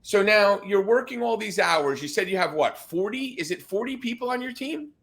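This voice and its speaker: A man's voice: sits high, animated, normal speed, gravelly, a bit bright. A man hosting a podcast, chatting casually with a guest, often restarting and repeating himself and teasing playfully. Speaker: podcast host. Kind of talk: casual interview chat.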